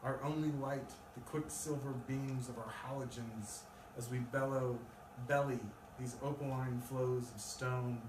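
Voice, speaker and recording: A man's voice: medium pitch, steady tone, measured pace, hardly any pauses. A man speaking live at a poetry reading, as a poet presenting his own work. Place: live event in a room with an audience. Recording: distant mic, echoey room, loud noise.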